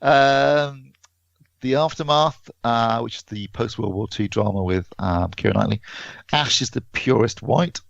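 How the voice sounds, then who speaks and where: A man co-hosting a podcast, chatting casually and haltingly about films, thinking aloud as he goes. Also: mid-range voice, gravelly. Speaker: podcast co-host and film reviewer. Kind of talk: casual film release chat. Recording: dull, roomy lo-fi podcast audio.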